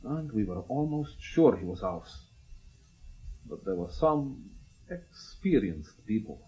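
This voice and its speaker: A man acting in a radio drama as a wary, probing Soviet KGB major, speaking formally and deliberately in clipped phrases. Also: mid-range voice, sing-song, gravelly, warm tone, exaggerated voice, low-quality recording. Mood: insistent.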